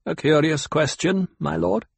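A proud, guarded desert scientist played by a male narrator, pitched up high with a husky edge. He speaks formally and curtly, stressing his words stiffly, as a character in an audiobook. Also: fairly even intonation, unhurried, no room sound, heavily acted. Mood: guarded, sour. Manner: stiff, curt, formal, emphatic.

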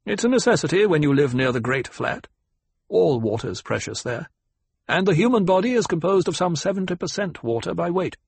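A man narrating a novel puts on a quietly formidable ecologist. The pitch sits raised and the delivery is measured and lecturing. Every phrase carries calm assurance. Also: gravelly, slightly rough, no room sound, exaggerated voice.

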